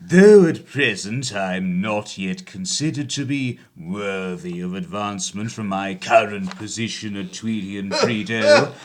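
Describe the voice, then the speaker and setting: An actor voicing a comic impression of a meek yet pompous clerk, drawn-out, unctuous and over-humble, in a period audio play. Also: male voice, on the low side, sing-song. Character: mimicked meek, pompous clerk, obsequiously humble, self-important.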